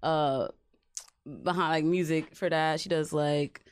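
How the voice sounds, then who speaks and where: Low, smooth-voiced young woman guest. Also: unhurried. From Guyana. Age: young adult. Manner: candid, relaxed storytelling. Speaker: guest singer-songwriter. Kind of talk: casual interview. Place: small radio studio. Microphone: studio boom mic.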